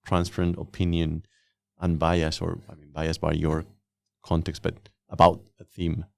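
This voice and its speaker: A man hosting a podcast and interviewing guests in a casual, conversational way, with a gravelly voice. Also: deep voice, monotone, measured pace, no room sound.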